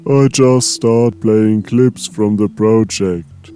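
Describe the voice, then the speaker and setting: A man's voice: lowish voice, steady tone, slow, dark tone. A man voicing an earnest, literal-minded male helper who takes everything at face value, with music playing behind. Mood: deadpan. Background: background music.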